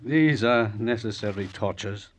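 An actor playing an elderly revolutionary leader, grave, courteous and prophetic, with deliberate formal phrasing in a 1950s radio drama. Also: male voice, on the low side, close to an everyday voice. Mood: grave, knowing.

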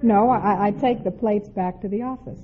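A woman answering as a quiz show contestant, hesitant and halting, on an old radio broadcast. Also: mid-range voice. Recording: dull, noisy old radio, studio audience.

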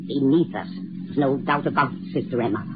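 A woman's voice: rumbling low, fairly quick, noisy background. An actress playing a bossy, snobbish old woman in 1940s radio drama, fussy and imperious. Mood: snobbish, aggrieved. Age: older. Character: haughty, suspicious, bossy old spinster sister. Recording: tinny, muffled 1940s radio broadcast, music.

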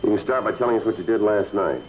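An actor playing a stern police sergeant, asking curt, flat, matter-of-fact questions in an old radio crime drama. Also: male voice, lowish voice, fast talker, natural voice. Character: stern clipped adult male police sergeant. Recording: tinny old radio broadcast.

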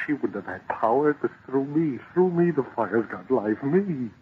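An actor playing a crazed, guilt-ridden arsonist in an old-time radio horror play, pleading frantically and repeating himself in anguish. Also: male voice, mid-range voice, lively intonation, moderate pace, close to an everyday voice. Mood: raving guilt. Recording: tinny, noisy vintage radio recording.

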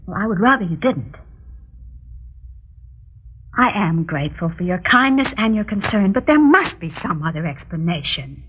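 Dull vintage radio sound, anxious gentle wife, very husky, formal diction, earnest pleading. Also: female voice, lowish voice, big pitch swings, normal speed, pauses often. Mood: polite, firm. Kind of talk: mid-century radio drama acting. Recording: dull, lo-fi old radio recording.

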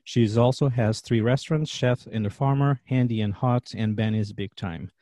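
A middle-aged man from Portugal with a Portuguese accent, hosting a food podcast with an upbeat, energetic manner. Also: mid-range voice, normal speed, no room sound, clean studio sound. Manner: energetic upbeat intro, quieter when asking questions. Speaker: podcast host and chef. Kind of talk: podcast interview and ad read.

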